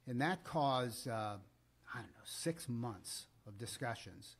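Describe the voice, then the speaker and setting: Middle-aged American man telling a story, mid-pitched. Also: animated, slow, slightly rough. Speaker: podcast co-host. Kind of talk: conversational storytelling on a podcast.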